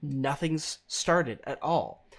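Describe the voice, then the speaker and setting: A man in his thirties presenting a software tutorial, explaining deliberately phrase by phrase, close to the mic. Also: a little high, expressive, vocal fry. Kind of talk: instructional screencast narration.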